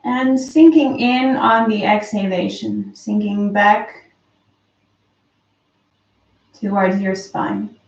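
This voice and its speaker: Woman leading a slow breathing meditation, soft and calm, far off in a roomy dull recording. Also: mid-range voice, gravelly. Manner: slow, soft, calm guidance with long pauses. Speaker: meditation teacher. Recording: roomy, distant, dull lo-fi sound.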